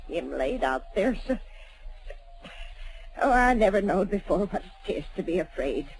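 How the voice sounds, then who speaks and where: A woman playing a tough frontier homesteader woman shaken by fear, in halting backcountry dialect, 1940s radio acting. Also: mid-range voice, a little hiss or hum, natural voice, rough recording. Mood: frightened.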